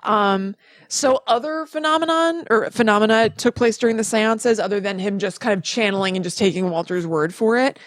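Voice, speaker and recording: A woman's voice: medium pitch, moderate pace, no echo. Female podcast co-host, casual and talkative storyteller who amends herself mid-flow, very little room sound. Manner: chatty, self-correcting.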